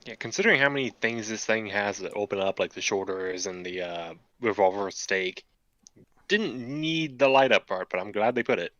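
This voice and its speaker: Low, bright-voiced man, casual builder chat. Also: normal speed, no room sound, highs cut off. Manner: relaxed, joking, thinks aloud with fillers. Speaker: model kit builder chatting on stream.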